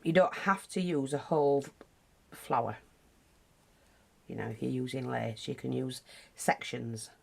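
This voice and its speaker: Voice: medium pitch, unhurried, vocal fry, long pauses. A craft teacher with a British accent, nose bunged up, chatting casually through a tutorial with frequent asides. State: blocked nose.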